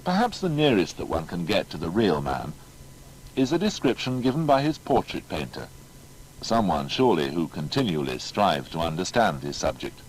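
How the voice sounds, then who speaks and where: A man narrates a scripted biography. His voice is very deep and moves widely in pitch, with formal, emphatic phrasing. A little background noise sits under it. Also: sing-song, moderate pace, poor audio.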